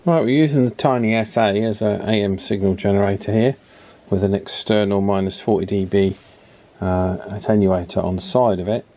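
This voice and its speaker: A man giving a casual, hands-on repair demonstration, chatty and unhurried, thinking aloud as he works. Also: fairly low pitch, warm tone, slight background noise. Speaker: radio repair video presenter. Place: indoor electronics repair bench.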